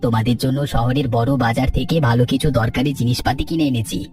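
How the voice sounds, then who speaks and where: A man's voice: medium pitch, steady tone, fast talker, darkish tone, distant mic, faint music behind, close to an everyday voice. A man voicing a polite, reassuring young son home from the city in a cartoon. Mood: caring, polite. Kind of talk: Bengali cartoon story dialogue.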